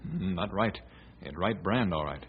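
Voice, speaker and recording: An actor playing a steady, good-humored scout in an old radio western, speaking clipped broken English with dropped words. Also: male voice, low voice, moderate pace, slightly rough. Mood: earnest. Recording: dull lo-fi old radio recording.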